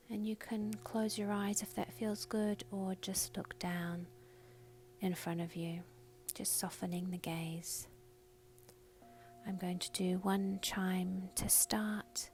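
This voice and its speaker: A woman teaching a guided meditation for beginners, speaking softly and breathily in soothing instructions with pauses. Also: fairly low pitch, slight background noise.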